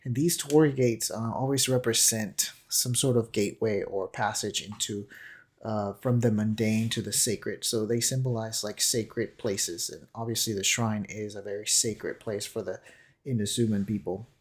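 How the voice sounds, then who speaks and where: A man giving casual analytical commentary on a video, hesitant and halting as he thinks aloud. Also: fairly low pitch, steady tone. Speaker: commentator on a game character trailer.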